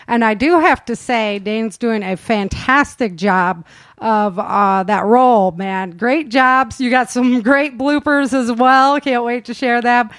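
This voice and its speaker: A woman with a fairly high voice hosts a live, casual chat, recorded close and dry. She is chatty and effusive as she brings guests in and hands over to them. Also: slow pace, fairly bright tone, no room sound. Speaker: host introducing performers.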